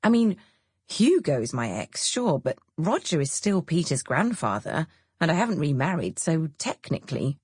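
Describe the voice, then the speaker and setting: A woman's voice: low-pitched, sing-song, moderate pace, darkish tone, breathy edge, dry recording, clean studio sound, full character voice. A woman voicing the cheerful, self-deprecating heroine of an audiobook novel, bantering and earnest in her protests. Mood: musing, rueful. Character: cheerful, self-deprecating divorced mother, easily flustered narrator heroine. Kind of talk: audiobook character dialogue.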